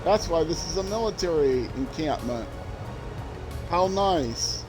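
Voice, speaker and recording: A man's voice: fairly high pitch, lively intonation, unhurried, music in the background. An older man from Minnesota with a Minnesota accent, rambling and self-deprecating as he streams a driving game. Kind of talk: casual gameplay commentary.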